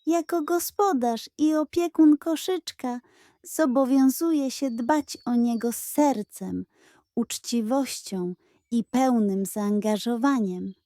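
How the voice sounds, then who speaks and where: A woman's voice: on the high side, warm tone, dry recording, studio quality. A woman voicing a cunning, pompous male pug who declaims proudly in a children's audio story. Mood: proud, solemn. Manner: theatrical, proud, solemn.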